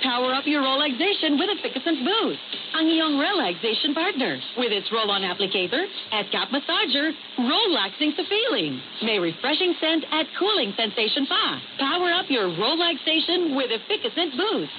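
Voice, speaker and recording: A woman announcer delivers scripted advertising copy with very varied intonation. Her pitch is on the high side. The AM radio signal is dull and clearly noisy. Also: big pitch swings, moderate pace. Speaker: commercial announcer.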